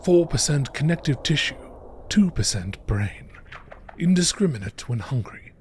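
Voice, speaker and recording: A man reading scientific entries aloud as scripted narration in a nature documentary style, measured and carefully enunciated. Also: medium pitch, slight background noise.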